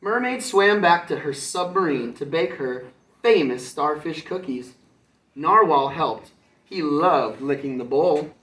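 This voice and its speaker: A man who works as a kindergarten teacher reads a storybook aloud for children, pausing to explain it in simple words. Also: a little high, animated, fairly bright tone, roomy sound, far from the mic.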